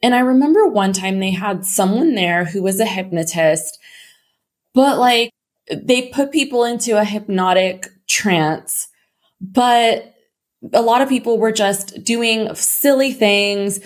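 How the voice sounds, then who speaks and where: A woman hosting her own podcast in a casual, upbeat way, her voice slightly hoarse. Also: medium pitch, slow pace. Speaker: podcast host. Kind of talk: casual solo podcast talk.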